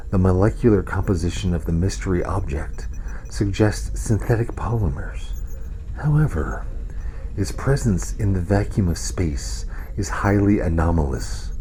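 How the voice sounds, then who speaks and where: A man's voice: deep voice, expressive, a little slow, warm tone, a little hiss or hum, poor audio. A man voicing a huge, socially unaware alien plant scientist in a sci-fi comedy audio drama, dry, clinical and formal. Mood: detached fascination.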